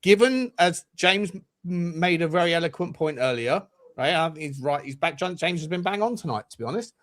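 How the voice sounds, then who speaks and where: High voiced guy hosting a football chat show, keeps quizzing the panel. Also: animated, fairly quick, fairly bright tone. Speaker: host.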